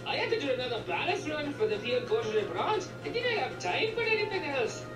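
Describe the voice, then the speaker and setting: Indignant little locomotive for a comic dub woman, high and animated, roomy sound with music behind. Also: a little fast. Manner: indignant, grumbling. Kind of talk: comic dub character acting. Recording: echoey, distant playback with music.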